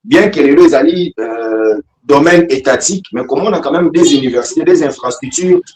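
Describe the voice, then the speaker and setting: Higher-pitched Congolese man hosting in echoey room. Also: animated, normal speed, darkish tone. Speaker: talk show host. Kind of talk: casual interview questioning. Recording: echoey room with faint background noise.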